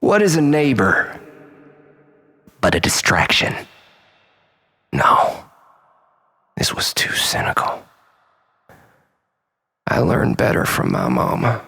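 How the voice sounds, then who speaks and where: A man performing a theatrical first-person monologue as a storyteller, melodramatic, swinging between exclamation and breathy hush. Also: on the low side, huge pitch swings, takes it slowly, long pauses.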